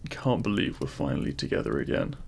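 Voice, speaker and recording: An actor playing a demon king, a deliberate and intimate lover, speaking straight to the listener in a romantic audio roleplay. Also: a bass voice, very animated, gravelly, darkish tone, breathy edge, full character voice. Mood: loving, gentle. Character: feared demon king, menacing in public, tender lover in private.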